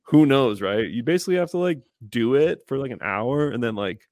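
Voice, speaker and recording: A man's voice: medium pitch, expressive, fairly quick, a little mellow, no echo, studio quality. A man in casual chat, a laid-back, rambling storyteller.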